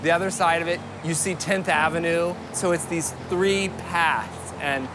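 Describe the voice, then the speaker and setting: A man's voice: fairly high pitch, fairly even intonation, measured pace, background noise. A man with an American accent talking informally in a documentary interview.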